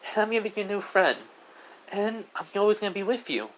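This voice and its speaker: Man putting on a high-pitched, cheerful little girl spirit, Diane. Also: expressive, brisk, fairly bright tone. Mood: reassuring. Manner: bubbly, pleading, childlike reassurance. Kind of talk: amateur character voice in improvised storytelling. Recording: noisy amateur recording.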